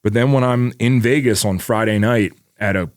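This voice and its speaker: Podcast co-host, male, around forty, very low voice, dry close sound, loose rambling delivery with frequent cursing. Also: normal speed, no echo. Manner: rambling, swears freely, many "like" fillers. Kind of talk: casual chat.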